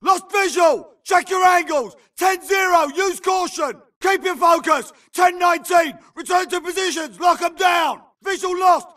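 An actor playing a tense infantry soldier in a video game, barking clipped, urgent combat callouts. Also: male voice, very high-pitched, a little slow, hardly any pauses, bright, forceful tone, shouting, distant mic, exaggerated voice. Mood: alert, commanding. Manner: clipped, urgent, radio-code jargon. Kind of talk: shouted military combat callouts.

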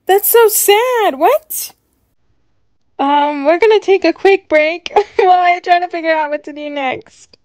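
A woman hosting a casual, chatty reaction video, gushing and excitable, often repeating words for emphasis. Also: very high-pitched, a little slow, no room sound. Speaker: host of a reaction video series.

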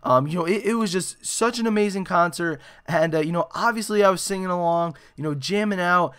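A young man with a hoarse, raspy voice, giving excitable gameplay commentary full of "you know" and "uh". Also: sits high, a little fast. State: hoarse after a concert. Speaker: gaming channel host. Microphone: desktop microphone.

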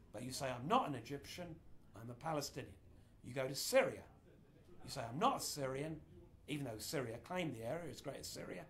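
A middle-aged man giving an informal history lecture in a small indoor room, gesturing broadly as he explains. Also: mid-range voice. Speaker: speaker giving a history talk.

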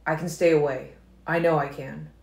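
A woman's voice: sits low, steady tone, moderate pace, creaky, darkish tone. A woman voicing a brooding, pained young vampire man. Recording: roomy echo.

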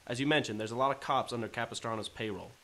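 Businesslike treasury agent, plain matter-of-fact delivery,. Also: male voice, mid-range voice, quick pace, pushed and bright. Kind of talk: old time radio drama acting.

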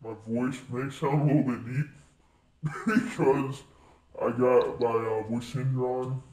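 Slow, dark, echoey male vlogger with voice changer. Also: fairly even intonation. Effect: voice changer, deepened. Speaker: video creator. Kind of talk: casual gaming vlog narration. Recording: roomy, echoing sound.

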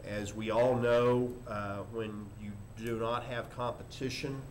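An older man, a school superintendent, giving a formal report at a board meeting in a halting, measured way with frequent pauses. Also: medium pitch, flat delivery, darkish tone. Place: indoor board meeting room. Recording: noisy meeting room microphone.

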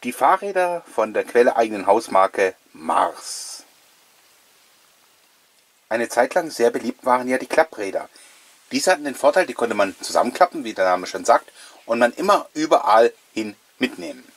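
A man's voice: mid-range voice, big pitch swings, normal speed, no echo. A middle-aged man giving casual, nostalgic commentary in a chatty, jokey, reminiscing way, as host of a collectors' video series.

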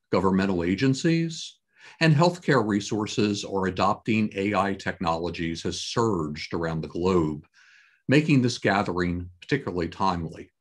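A man in his sixties giving a formal, scripted opening address as a conference host, with measured, deliberate phrasing and a husky voice. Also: low-pitched. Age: 60s. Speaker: conference host introducing a keynote speaker. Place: home office with bookshelves, indoors. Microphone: computer webcam at desk distance, video call.

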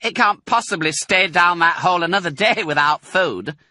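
A man voicing a sharp, impatient and bossy farmer's wife. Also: high-pitched, moderate pace, no echo, pitched above the performer's own voice.

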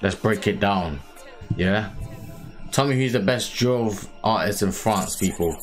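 A man in his thirties with a British accent, reacting casually to a music video, recorded on a headset in a small home room. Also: on the low side. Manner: slow, hyped interjections between song plays. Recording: loud rap music playing behind.

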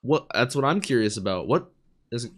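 Excitable young man with a raspy, sick voice. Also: medium pitch, moderate pace. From United States. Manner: excitable, chatty, laughing often. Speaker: anime reaction streamer. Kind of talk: casual anime reaction commentary. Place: small bedroom studio with neon lights. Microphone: studio mic on boom arm, headphones.

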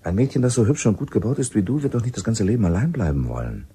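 A man playing a pushy forty-year-old village womanizer in a radio play, coaxing and blustering in a rough, husky voice. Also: lowish voice, wildly swooping pitch, fast, warm tone, heavily acted. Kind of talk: naturalistic radio drama acting.

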